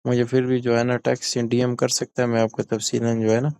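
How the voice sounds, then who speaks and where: A man's voice: mid-range voice, completely flat delivery, darkish tone, clear, resonant tone. A man narrating an informal tutorial, explaining step by step and repeating himself. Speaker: tutorial presenter.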